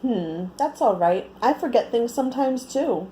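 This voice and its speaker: Huge kindly orca for a storybook, read by a woman, reassuring and easygoing, mellow tone, animated delivery. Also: fairly high pitch, a little slow, slight background noise. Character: gigantic friendly male orca, easygoing and reassuring.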